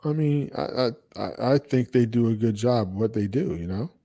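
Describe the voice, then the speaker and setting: Man, American accent, medium pitch, mellow warm tone, blunt, repetitive for emphasis, casual opinionated podcast talk. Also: lively intonation, moderate pace. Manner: blunt, repeats phrases for emphasis. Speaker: podcast co-host.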